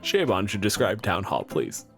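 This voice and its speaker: A man's voice: lowish voice, very animated, moderate pace, creaky. Wry male game master, faint music. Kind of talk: casual narration of a tabletop game. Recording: faint background music bed.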